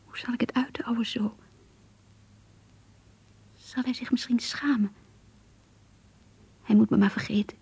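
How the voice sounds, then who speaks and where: An actress playing an anguished, lovesick young woman confiding in her diary in a radio play, hushed and intimate. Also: a little high, steady tone, unhurried, pauses often, heavily acted. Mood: anguished, pleading. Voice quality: breathy, hushed, whispery. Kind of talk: Dutch radio play monologue.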